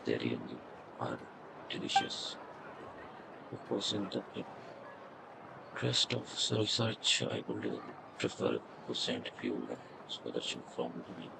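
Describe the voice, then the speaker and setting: A man live streaming a game, reading dialogue aloud in a halting mumble. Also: very deep voice, sing-song, gravelly, background noise.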